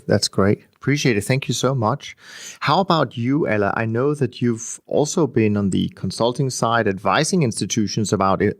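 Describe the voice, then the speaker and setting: A male podcast host courteously thanks each guest and brings in the next. His voice is on the low side. The recording is dry and close. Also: dry recording. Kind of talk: interview hosting.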